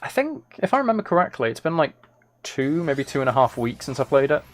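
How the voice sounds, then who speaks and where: A man's voice: fairly high pitch, sing-song, quick pace. A man live-streaming video games, chatting casually to his viewers and thinking aloud as he plays.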